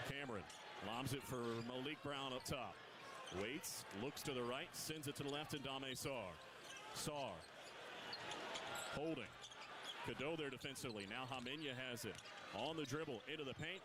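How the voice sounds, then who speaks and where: A man giving live radio play-by-play of a college basketball game, brisk and rising sharply on big plays. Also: on the high side. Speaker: play-by-play announcer. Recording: live broadcast with loud arena crowd noise. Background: arena crowd and bouncing ball.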